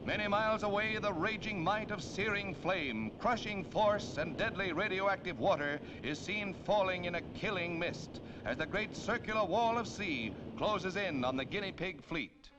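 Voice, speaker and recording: A man's voice: sits high, lively intonation, moderate pace, hardly any pauses, background noise. An American man reading scripted 1940s newsreel narration in a dramatic, declamatory manner, on a period film soundtrack. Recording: dull, band-limited 1940s newsreel soundtrack.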